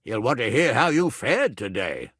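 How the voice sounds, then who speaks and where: An actor playing a blustering, irascible middle-aged medieval nobleman in a video game, with a gravelly, raspy voice and a gruff, commanding manner. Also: mid-range voice, sing-song, normal speed, fairly bright tone, dry recording, studio quality. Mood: gruff, businesslike. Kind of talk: dramatic video game character dialogue.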